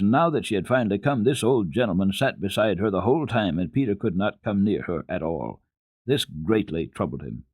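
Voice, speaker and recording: A man with a fairly low voice narrates a children's classic in the manner of a radio storyteller. His delivery is measured and expressive, and the sound is close and dry, with very little room. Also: husky, no echo. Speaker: storyteller, radio program host. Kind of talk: dramatic reading of a children's book.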